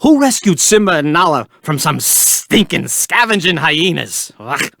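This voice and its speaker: Wisecracking cartoon meerkat, swooping animated pitch, brash quiz-host patter, theatrical, dry close studio sound. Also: male voice, a little slow, gravelly, dry recording, exaggerated voice. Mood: sardonic, playful. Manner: brash, theatrical, joking asides. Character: wisecracking, hammy cartoon male meerkat sidekick. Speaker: quiz game host character. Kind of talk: cartoon video game voice acting.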